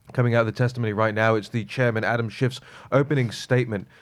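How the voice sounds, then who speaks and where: A man's voice: mid-range voice, steady tone, fast talker. A man co-hosting a talk show, breaking in to report the latest news and quoting statements. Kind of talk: news update.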